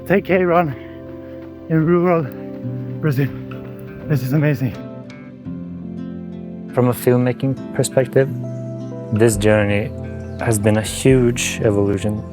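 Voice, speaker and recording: Relaxed man talking film gear. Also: mid-range voice, takes it slowly, pauses often. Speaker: filmmaker. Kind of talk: casual behind-the-scenes talk. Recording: music playing underneath.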